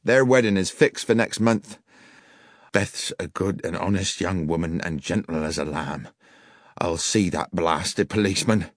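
A man's voice: sits low, measured pace, dry recording, studio quality. A man voicing an anxious, blunt elderly village landlord who is fiercely protective of his family. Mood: protective, angry. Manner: agitated, blunt.

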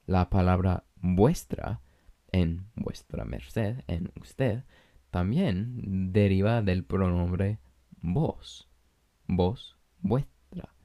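A man who is a native English speaker, talking Spanish with an English accent, hosting a language-learning podcast in a measured, explanatory way with light jokes. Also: deep voice, mellow. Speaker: podcast host. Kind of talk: scripted language-learning monologue.

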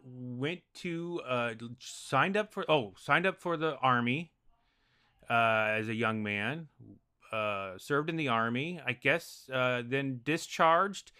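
A man talking loudly and animatedly as a guest on a casual podcast chat. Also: mid-range voice, slow pace, smooth. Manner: naturally loud, animated storytelling. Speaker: podcast guest, improv performer.

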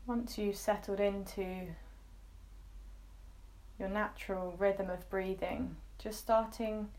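A woman leading a guided meditation, giving soft instructions in gently coaxing phrases with pauses. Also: medium pitch, steady tone.

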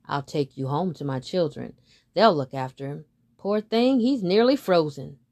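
A woman voicing a kindly farmer in a storybook, talking in a gentle, caring way. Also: low-pitched, lively intonation, moderate pace.

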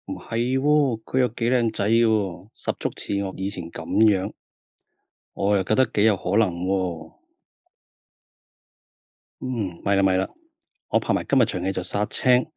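Man acting as a glib bossy film star, hong kong cantonese accent, lowish voice, muffled lo-fi sound. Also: unhurried, pauses often, no echo, natural voice. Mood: exasperated. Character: flippant aging male film star dodging trouble. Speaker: actor character on the phone. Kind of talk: Cantonese radio drama acting.

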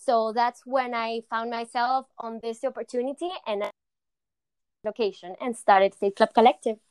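Young Latin American woman founder, smooth voice. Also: fairly high pitch, steady tone, normal speed, a little mellow, no room sound. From Argentina. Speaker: founder of a fitness company. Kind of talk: interview answers.

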